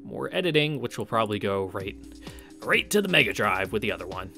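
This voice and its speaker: A man's voice: fairly low pitch, big pitch swings, a little fast, creaky, pushed and bright. A young man reading a visual novel aloud and adding casual, wry asides. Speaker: let's-play narrator. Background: game music.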